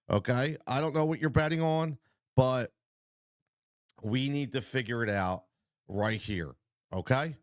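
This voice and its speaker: A man's voice: medium pitch, no room sound. Stuffy-nosed guy hosting a betting stream, pumped up, dry close sound. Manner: energetic, repetitive hype, talks straight to viewers. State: congested from a cold. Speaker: sports betting show host. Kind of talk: live-stream betting talk.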